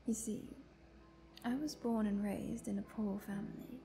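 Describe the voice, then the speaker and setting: A woman's voice: mid-range voice, gravelly, mellow, a little hiss or hum, low-quality recording. A woman playing a chatty, playful vampire prisoner who teases and tells her woes theatrically in a roleplay monologue. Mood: bitter storytelling. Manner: playful, talkative, teasing, theatrical. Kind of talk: dramatic roleplay monologue addressed to the listener.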